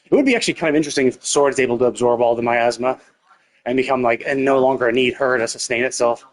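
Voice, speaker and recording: A man's voice: mid-range voice, fast talker. A man giving casual, speculative live game commentary as a streamer, often checking himself with "right?". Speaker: game livestreamer. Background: game music.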